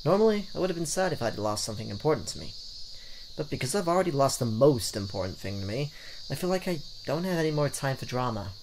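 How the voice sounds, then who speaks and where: A man's voice: medium pitch, sing-song, fast, background noise. A man hosting a let's play, reading game text aloud in a theatrical way with casual reactions. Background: game music.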